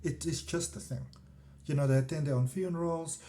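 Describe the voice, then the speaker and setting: A man's voice: on the high side, steady tone, brisk, mellow, slight background noise. A middle-aged man with a South African accent, talking casually and discursively as a spiritual vlogger, often trailing off into asides. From South Africa, countryside. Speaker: spiritual vlogger and energy healer. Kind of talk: casual rambling personal talk to camera.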